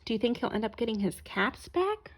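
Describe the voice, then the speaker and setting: A woman reads a children's storybook aloud. Her voice is high, with very lively rises and falls, and her narration is expressive and aimed at young listeners. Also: fairly quick. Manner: asks listeners questions as she reads. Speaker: storybook reader.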